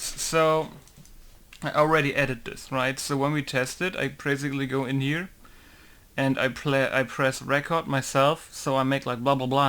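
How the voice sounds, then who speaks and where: A man's voice: medium pitch, a bit bright. A man walking through a game modding tutorial, thinking aloud and rambling, often leaning on filler words like "basically" and "right?". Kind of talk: casual screen-recorded tutorial.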